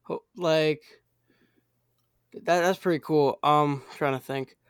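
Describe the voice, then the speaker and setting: A young male podcast host interviewing a guest, hesitant and filling pauses as he thinks. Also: on the high side, steady tone, clean tone, dry recording, clean studio sound. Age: young, teen or younger. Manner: hesitant, many fillers and restarts.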